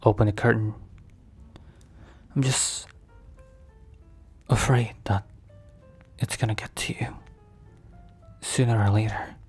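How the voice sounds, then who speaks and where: An actor playing a protective mafia boss boyfriend in an intimate ASMR roleplay, speaking slowly and hesitantly in a confiding, sometimes breathy way. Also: male voice, on the low side, steady tone, a little mellow, soft background music. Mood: somber, worried.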